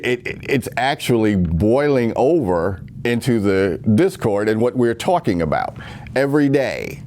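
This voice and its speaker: A man's voice: lowish voice, a little mellow, a little hiss or hum. A man giving an earnest, rambling spoken monologue with many restarts, recorded at home.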